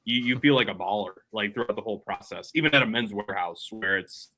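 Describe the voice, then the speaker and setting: Bright, rambling man telling stories. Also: on the low side, fast talker. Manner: rambling storyteller, laughs often. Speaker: podcast co-host. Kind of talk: casual chat.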